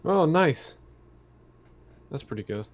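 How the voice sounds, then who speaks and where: A man's voice: fairly high pitch, lively intonation, slow pace. A man chatting casually as a player in a tabletop roleplaying game.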